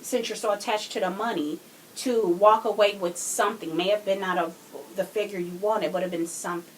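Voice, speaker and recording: A woman's voice: mid-range voice, fairly even intonation, fairly quick, slight background noise, rough recording. A woman co-hosting a podcast, talking casually and emphatically as she argues her points in a true crime discussion. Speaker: podcast co-host.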